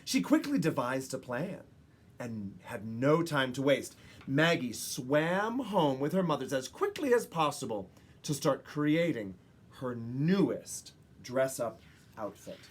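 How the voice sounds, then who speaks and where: Expressive woman reading a children's story, slightly husky. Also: extremely low pitch. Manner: dramatic pauses and emphasis for young listeners. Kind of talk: expressive picture-book read-aloud.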